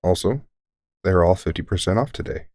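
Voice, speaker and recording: A man playing a deranged centuries-old elf shopkeeper who is courteous, formal and unsettlingly calm, in an audio roleplay. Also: deep voice, fairly quick, no room sound, studio quality. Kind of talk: scripted audio roleplay addressing the listener.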